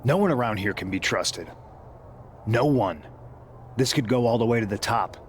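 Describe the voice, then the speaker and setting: A man's voice: medium pitch, animated, moderate pace, slight background noise, lightly acted. A man voicing an injured wrestler, bitter and insistent about revenge. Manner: resentful, insistent.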